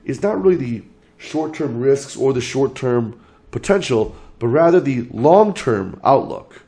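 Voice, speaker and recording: A man's voice: medium pitch, mellow. A man explaining his market outlook as a channel host, talking through points in order while losing his voice from illness. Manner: measured, lays out points in order.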